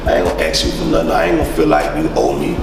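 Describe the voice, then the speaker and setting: A deep-voiced rapper from Louisiana with a Southern accent, talking loosely in an interview and leaning on filler words. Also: male voice, little pitch movement, very fast. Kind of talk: casual interview. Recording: roomy echo from far off with music.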